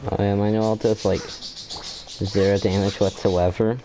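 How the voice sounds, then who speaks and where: A man's voice: on the low side, level tone, measured pace, background noise. A man giving casual commentary while playing through a game's story quest, thinking aloud and often trailing off and restarting his sentences. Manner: laid-back, reacts with short exclamations. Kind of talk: casual let's-play commentary.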